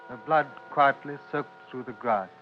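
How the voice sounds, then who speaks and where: An actor playing a grave literary storyteller, narrating a radio drama in a measured, solemn way. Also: male voice, medium pitch, close to an everyday voice. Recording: dull old radio recording, faint music.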